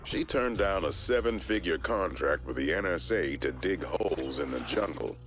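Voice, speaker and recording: A very deep gruff briefer. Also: male voice, animated, normal speed, a bit bright, a little hiss or hum. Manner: terse briefing delivery. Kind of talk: video game intel narration.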